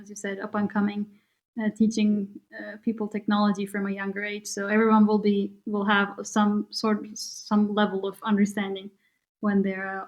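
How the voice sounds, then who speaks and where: Woman, podcast host, mid-pitched, friendly questions with lots of fillers. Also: low-quality recording. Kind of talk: casual interview.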